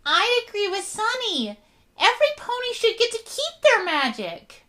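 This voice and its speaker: A woman doing a squeaky, bouncy young pony. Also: sing-song, moderate pace, noisy background. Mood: defensive. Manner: bouncy, defensive. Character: quirky young mare, left-out outsider. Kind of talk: fan comic dub voice acting.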